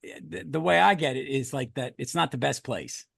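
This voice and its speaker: A man's voice: medium pitch, moderate pace, vocal fry, a bit bright, husky, dry recording, studio quality. A man hosting a podcast in casual chat, with informal, choppy phrasing. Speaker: podcast host.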